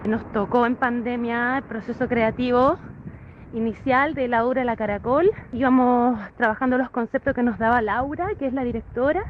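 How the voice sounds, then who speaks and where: A high-voiced woman, speaking in a friendly, steady way as she explains her dance company's work. The recording is a little muffled, with a faint hiss behind her. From Chile. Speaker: dance theatre performer. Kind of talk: recorded voice message.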